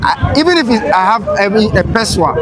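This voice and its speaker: A man's voice: high voice, animated, quick pace. A man from Ghana, a travel vlogger, chatting casually and rambling through lists of places with many "you know"s. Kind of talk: casual street interview. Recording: noisy street crowd behind.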